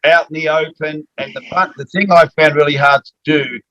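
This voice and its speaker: Enthusiastic host from Australia. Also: male voice, on the high side, fairly even intonation, fairly quick, sharp tone, dull audio. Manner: enthusiastic, exclaims often. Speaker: host, former wrestler and voice actor. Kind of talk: casual interview chat.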